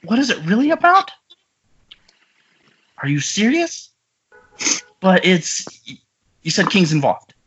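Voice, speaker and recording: A man playing an eager, excitable young film actor in a comedic script table read over an internet call. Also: high voice, takes it slowly, pauses often, full character voice. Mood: incredulous. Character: eager, foul-mouthed young male film actor in his twenties. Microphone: remote video-call microphone. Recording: muffled internet call, faint noise.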